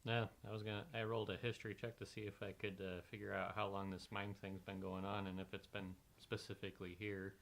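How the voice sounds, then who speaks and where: Low, gravelly man playing tabletop game. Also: flat delivery, fast, hardly any pauses, a bit bright. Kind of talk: casual game-table talk.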